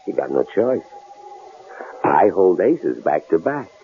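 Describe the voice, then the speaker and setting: An actor playing a menacing killer who mocks his hostages in a drawling, gravelly voice, in vintage radio drama style. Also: low voice, very animated, slow, narrow, tinny audio, heavily acted. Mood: taunting. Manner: mocking, drawling interrogation with sudden swoops. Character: menacing, taunting male killer holding a couple hostage. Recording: noisy, band-limited old radio broadcast.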